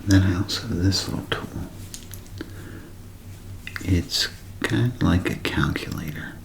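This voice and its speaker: A man doing a whispered ASMR show-and-tell, speaking in a hushed, unhurried way with gentle pauses. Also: low voice, gravelly, a little hiss or hum, rough recording. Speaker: ASMR creator.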